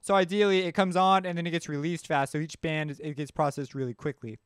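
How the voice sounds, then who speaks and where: A man hosting a casual music production tutorial, explaining step by step as he demonstrates the software. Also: fairly high pitch, steady tone, quick pace.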